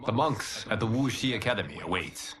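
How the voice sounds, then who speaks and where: Man acting a solemn low-voiced fire god. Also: lively intonation, a crowd in the background, close to an everyday voice. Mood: solemn, assured. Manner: solemn, formal, measured. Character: calm, commanding fire god and earth's protector. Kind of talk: video game cutscene acting.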